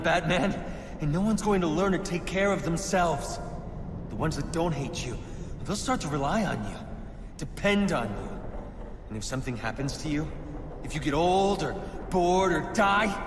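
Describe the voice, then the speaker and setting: Impassioned young villain, cavernous reverb. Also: male voice, a little high. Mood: accusing. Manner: preachy, impassioned monologuing. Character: idealistic, embittered young male anarchist villain, ranting and wounded. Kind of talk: dramatic video game acting. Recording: noisy game capture with long echoing reverb.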